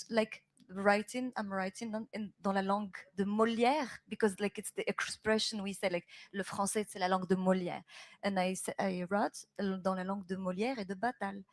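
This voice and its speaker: A woman poet answering a question on a panel. She searches for words and drops French phrases into her English. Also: medium pitch. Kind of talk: panel discussion.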